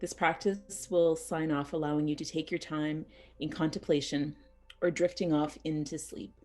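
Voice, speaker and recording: A woman teaching yoga nidra as a guided meditation, speaking soothingly and unhurriedly in short phrases with pauses. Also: low voice, steady tone, darkish tone, soft background music.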